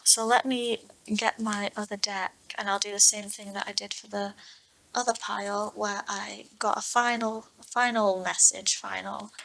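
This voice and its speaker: Woman with creaky voice giving tarot reading. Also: mid-range voice, fairly even intonation, measured pace, a bit bright, rough recording. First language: English. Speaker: tarot reader, online host. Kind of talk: unscripted tarot card reading to viewers.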